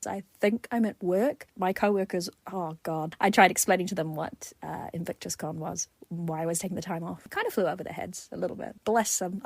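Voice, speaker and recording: A woman talking casually in a voice message, informal and expressive, with a self-deprecating way of telling things. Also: fairly low pitch, vocal fry, husky, no echo. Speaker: listener sending in a voice message.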